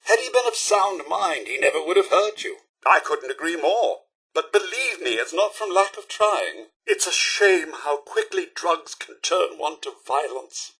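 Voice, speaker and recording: A fussy British robot butler for a video game, prim and devoted. The voice sits high and swings widely in pitch, with a bright, forceful edge. The phrasing is formal and deferential, with dry understatement. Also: male voice, husky, exaggerated voice. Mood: sombre, apologetic. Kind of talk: video game companion voice lines.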